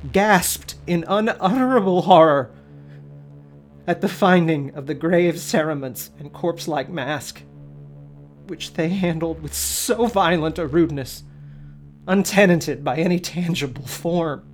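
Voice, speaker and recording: A man hosting a podcast, giving a dramatic, expressive reading of a story. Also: a little high, unhurried, faint music behind. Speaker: podcast host.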